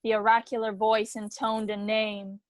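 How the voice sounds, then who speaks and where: A woman's voice: mid-range voice, monotone, moderate pace, no echo, lightly acted. Woman as solemn chorus member, close dry sound. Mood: solemn, resolute. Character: solemn, loyal member of Greek tragic chorus. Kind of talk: dramatic choral recitation.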